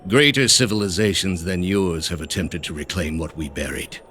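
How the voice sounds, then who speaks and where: A deep, imperious zealot grandmaster. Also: male voice, pushed and bright, a little hiss or hum. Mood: scornful, imperious. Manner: pompous, deliberate, condescending. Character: cold, imperious male grandmaster of a zealous ancient order. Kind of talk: dramatic video game dialogue.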